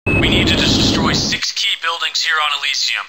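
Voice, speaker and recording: A man's voice: on the high side, moderate pace, heavy background noise, natural voice. A man playing an urgent, gung-ho military commander giving orders in a game mission briefing. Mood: brisk, commanding.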